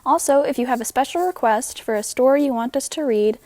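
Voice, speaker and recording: A woman's voice: sits high, a little fast, a little mellow, reverberant, far from the mic. A woman storyteller hosting a read-aloud show, reading a picture book expressively for children.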